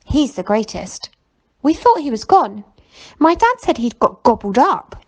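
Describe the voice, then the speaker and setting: Storybook role: awestruck slangy fairground kid played by a woman, breathless, raised pitch, very lively. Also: a little slow, husky, exaggerated voice. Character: excitable awestruck fairground kids, streetwise.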